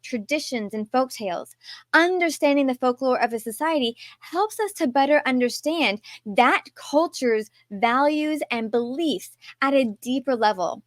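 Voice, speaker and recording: High-voiced Texan woman hosting a podcast. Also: no echo, studio quality. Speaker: podcast host. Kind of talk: podcast interview.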